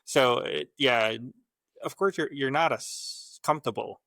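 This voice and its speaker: A man's voice: mid-range voice, measured pace, creaky, sharp tone, no room sound, clean studio sound. A man from the Philippines with a Filipino accent, a guest chatting casually and rambling with restarts. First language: Tagalog. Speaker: guest, lab scientist. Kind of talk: casual conversation.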